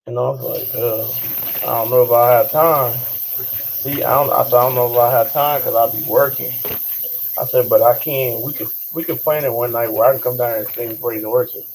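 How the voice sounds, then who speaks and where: A hoarse man with an American accent telling a story in casual chat and acting out what others said. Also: mid-range voice, steady tone, a little mellow, a little hiss or hum, low-quality recording. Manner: slow, drawling storyteller who acts out other people's lines. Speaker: channel host. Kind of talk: casual storytelling with quoted dialogue and sung passages.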